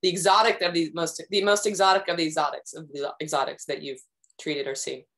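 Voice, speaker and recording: A woman's voice: low voice, fairly even intonation, brisk, fairly bright tone. A middle-aged woman hosting an online interview over a video call, friendly and rambling with fillers. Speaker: webinar host. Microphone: video call webcam.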